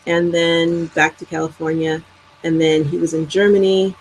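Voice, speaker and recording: A middle-aged woman from the United States, a physician answering interview questions in a relaxed, easygoing way. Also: on the low side, moderate pace, a little hiss or hum, rough recording. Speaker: physician guest.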